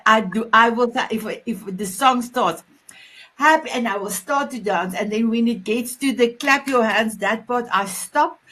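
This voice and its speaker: A woman's voice: a little high, a bit bright, rough recording. Effusive woman host. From South Africa. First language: Afrikaans. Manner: effusive, repeats "my beautiful", stumbling restarts. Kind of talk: casual online chat show.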